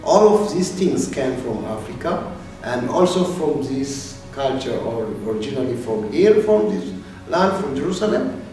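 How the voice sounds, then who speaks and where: A man's voice: medium pitch, animated, unhurried, warm tone, soft background music. A man from Ethiopia answering interview questions hesitantly, searching for his words. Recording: distant mic in a very echoey room.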